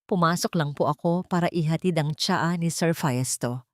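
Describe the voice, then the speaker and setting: A woman's voice: sits low, moderate pace, no room sound, natural voice. A woman storyteller plays a humble young maid, falsely accused and pleading. The voice is deferential and earnest, recorded dry and close for an audio drama. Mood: fearful denial.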